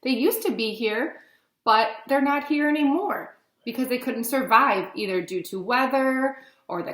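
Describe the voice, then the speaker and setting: Woman, higher-pitched voice, children's read-aloud lesson, animated teacher manner, roomy echo. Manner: animated, exclamatory, explaining simply to children.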